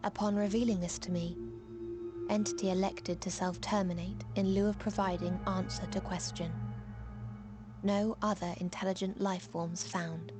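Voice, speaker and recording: A woman's voice: medium pitch, level tone, moderate pace, close to an everyday voice. A woman playing a young otherworldly messenger creature in a video game, reciting grim reports in a detached, clipped, solemn manner. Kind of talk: video game cutscene acting, formal report. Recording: loud music under the voice. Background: loud music.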